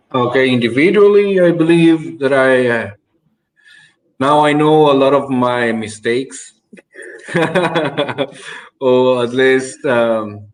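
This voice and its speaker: Young male panelist, slow and halting, searching for words. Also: medium pitch, rough recording. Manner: hesitant, many restarts and "uh"s. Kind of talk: casual panel discussion.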